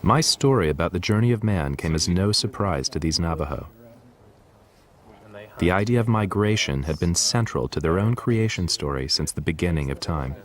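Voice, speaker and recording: A man giving measured, earnest scripted narration for a documentary. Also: low voice, lively intonation. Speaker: documentary presenter. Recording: voice-over with loud music behind.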